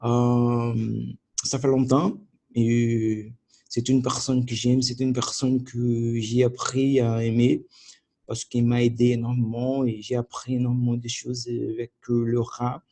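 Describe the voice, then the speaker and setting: A man whose first language is Portuguese, speaking French as a learner with a Portuguese accent in a video diary, halting and searching for words with many restarts. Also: medium pitch, level tone, warm tone. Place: at home by his computer.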